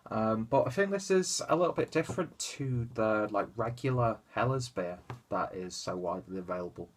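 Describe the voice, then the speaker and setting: A man's voice: lowish voice, lively intonation, moderate pace, slight background noise. A young man with a stuffy, congested nose and a nasal voice, chatting casually through a beer review at home on a webcam. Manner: rambling, chatty, lots of fillers and asides. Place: small room at home, indoors.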